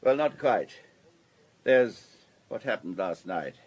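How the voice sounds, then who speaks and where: A polished, well-to-do man of the world in a radio play. His pitch swings freely as he confides and explains with courteous self-assurance, like a practised storyteller. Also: sing-song, slow, husky, muffled recording, exaggerated voice. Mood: confiding, measured. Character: urbane, wealthy older businessman, discreet and self-assured. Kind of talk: radio drama acting.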